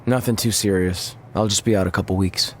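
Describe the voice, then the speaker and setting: Man acting a low-voiced, easygoing male wrestler. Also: fairly even intonation, fairly quick, a little hiss or hum, close to an everyday voice. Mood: easygoing, joking. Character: good-natured, jokey male pro wrestler, loyal friend. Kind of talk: conversational video game cutscene acting.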